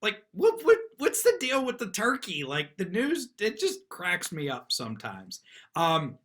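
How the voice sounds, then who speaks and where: A man's voice: high-pitched, wildly swooping pitch, unhurried, fairly bright tone. A man giving casual, chatty, off-the-cuff commentary on a news clip as a lawyer hosting his own show. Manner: informal, chatty. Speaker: lawyer commentator, channel host.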